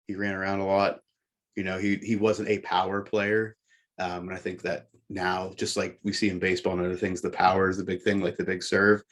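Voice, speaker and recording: A man's voice: sits low, level tone, fairly quick. A man co-hosting a casual sports discussion, explaining things in a matter-of-fact way. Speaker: sports podcast co-host.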